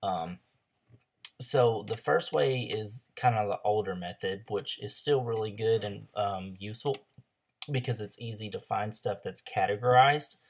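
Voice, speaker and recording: A hoarse young man with a loose, chatty manner, full of ums and likes, walking through a screen-share tutorial as an online ads coach. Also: lowish voice, measured pace, slight background noise. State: hoarse after a throat illness.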